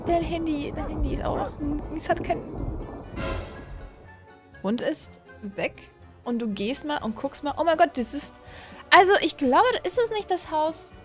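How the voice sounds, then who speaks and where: A woman in her twenties giving casual, excited reaction commentary as a gaming host, exclaiming and repeating herself into a close desk mic. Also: sits high, a little slow, over music. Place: small home streaming room, indoors. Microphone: desk condenser microphone, close.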